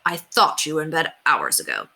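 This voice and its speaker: A woman's voice: sits low, huge pitch swings, moderate pace, creaky, sharp tone, heavily acted. A woman voicing a mild, curious middle-aged father with a casual, matter-of-fact manner. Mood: mildly surprised.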